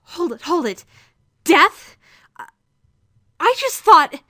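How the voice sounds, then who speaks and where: A woman's voice: very high-pitched, sing-song, takes it slowly, a bit bright, no room sound, studio quality, heavily acted. A woman playing an anxious young female trainee who stammers and protests in a visual novel fan dub. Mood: panicked. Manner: stammering, hesitant, indignant.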